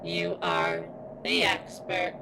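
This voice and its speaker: A woman voicing a damaged female surveillance robot in an ASMR roleplay, speaking in formal, clinical, halting phrases with a strained, pained delivery. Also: extremely low pitch, monotone, background noise. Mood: strained, deferential. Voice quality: strained, as if in pain. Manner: formal, clinical, stilted wording with halting pauses. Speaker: voice actor in audio roleplay. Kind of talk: ASMR roleplay, scripted character monologue.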